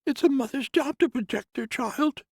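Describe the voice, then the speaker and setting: A man's voice: extremely high pitch, moderate pace, creaky, slightly rough, dry recording, clean studio sound, voice pushed up, exaggerated voice. Man voicing a tearful grieving nurse in her fifties. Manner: tearful, wavering, defensive. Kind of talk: audiobook character voice.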